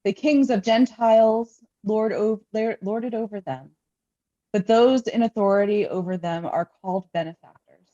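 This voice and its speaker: Mellow woman reading scripture, slightly muffled. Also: mid-range voice, normal speed. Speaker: scripture reader, pastor. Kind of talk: solemn liturgical scripture reading.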